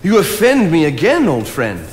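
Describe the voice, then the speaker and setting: A man's voice: on the high side, huge pitch swings, moderate pace. An actor in his thirties playing an earnest, solitary young naturalist on a theatre stage, speaking in a philosophical, declamatory way. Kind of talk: stage play acting. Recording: hall reverb of a theatre stage.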